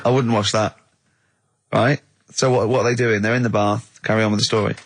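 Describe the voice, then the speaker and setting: A man's voice: lowish voice, little pitch movement, fairly quick, dry recording. A man in his forties with a southern English accent, co-hosting comic radio banter in a mocking, teasing way and acting out imaginary dialogue. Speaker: radio show co-host.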